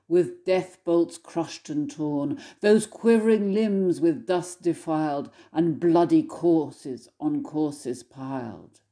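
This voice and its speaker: A woman's voice: sits low, dark tone. A woman scholar giving a literary introduction and poetry reading in an articulate manner.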